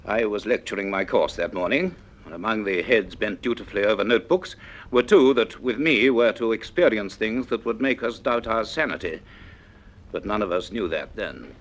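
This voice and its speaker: An actor playing a learned, slightly gruff male professor in a radio drama, speaking in a formal, donnish manner. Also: fairly low pitch, expressive, normal speed, close to an everyday voice. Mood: reminiscing. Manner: donnish, formal, hesitant when reminiscing. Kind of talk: radio drama monologue and lecturing.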